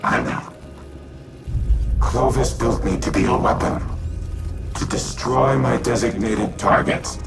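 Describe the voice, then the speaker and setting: An actor playing a solemn, self-sacrificing military artificial intelligence with a gravelly voice and formal, resolute, measured speech in a game cutscene. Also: male voice, on the low side. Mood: tender resolve. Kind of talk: scripted game cutscene acting. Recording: loud music behind voice.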